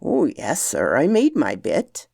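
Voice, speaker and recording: A woman's voice: low voice, huge pitch swings, slow pace, creaky, darkish tone, breathy edge, no echo, clean studio sound, full character voice. A woman voicing a loyal male servant, respectful and confiding, with a reverent manner, in a storybook reading.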